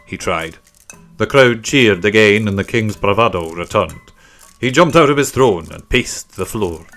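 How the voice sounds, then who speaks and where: A man's voice: lowish voice, animated, measured pace, a bit bright. A man narrating a children's story from a script. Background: faint music.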